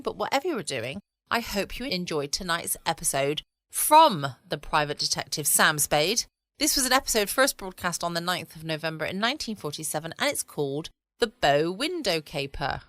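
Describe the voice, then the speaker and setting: A woman with an English accent hosting a podcast, chatting casually in a relaxed, rambling bedtime style. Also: lowish voice, no room sound, clean studio sound. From United Kingdom. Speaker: podcast host. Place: at home, dry close recording.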